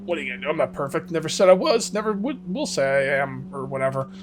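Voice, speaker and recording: A man with a hoarse, fading voice, casually commenting on his survival game as he plays and rambling to his viewers. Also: fairly high pitch, lively intonation, fast. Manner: rambling, self-correcting, talks to viewers. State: losing his voice. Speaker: gaming video host. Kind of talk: casual let's-play commentary.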